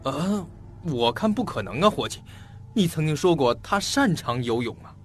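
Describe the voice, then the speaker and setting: Animated, impatient male detective. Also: fairly high pitch, normal speed, a little hiss or hum. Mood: skeptical, curious. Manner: probing, blunt, theatrical. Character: impatient, skeptical male detective questioning a colleague. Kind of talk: Chinese audio drama acting, interrogative dialogue. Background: faint background music.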